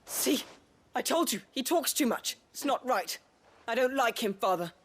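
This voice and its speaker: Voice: medium pitch, expressive, normal speed, fairly bright tone. An actor playing a spoiled, cruel young noble child in a video game, with a whiny, entitled manner. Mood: whining.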